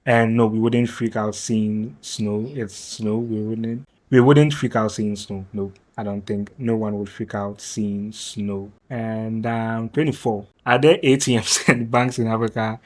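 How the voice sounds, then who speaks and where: A young man from Ghana with a Ghanaian accent, answering viewer questions in a casual vlog, chatty and hesitant, with many fillers and restarts. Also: fairly low pitch. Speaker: YouTuber.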